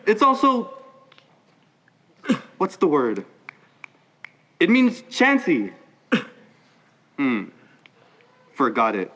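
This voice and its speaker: A teenage boy acts out a chatty hospital porter in a stage play. His voice sits high and swings widely in pitch, and he takes his time over the words, serving lunch-round small talk. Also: huge pitch swings, extremely slow, pauses often, pushed and bright, full character voice. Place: high school theater stage.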